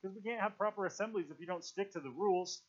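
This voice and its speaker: A man voicing an earnest boy leader in a storybook reading, halting and self-questioning but insistent about rules. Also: high-pitched, highs cut off, pitched above the performer's own voice. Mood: flustered, weary. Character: earnest, wavering boy leader trying to keep order.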